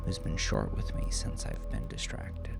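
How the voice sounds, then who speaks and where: Brooding male horror narrator over music. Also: unhurried. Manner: confessional, brooding, often hushed to a near-whisper. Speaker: author and narrator of horror story. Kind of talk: first-person dramatic horror narration.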